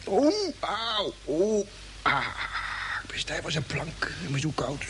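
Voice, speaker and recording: A man's voice: a little high, expressive, takes it slowly, fairly bright tone, heavily acted. An actor playing a drowsy, self-pitying, comically complaining spaceship crewman in a radio drama. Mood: groggy, complaining. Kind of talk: 1950s radio drama acting. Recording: old radio recording, faint hiss.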